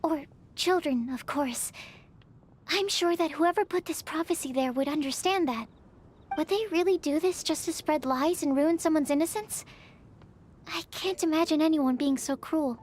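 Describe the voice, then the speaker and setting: A woman's voice: sits high, normal speed, darkish tone, natural voice. A woman voicing a timid, bookish young researcher in a video game, speaking in a polite, tentative and precise way. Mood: tentative, thoughtful. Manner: tentative, precise, formal wording.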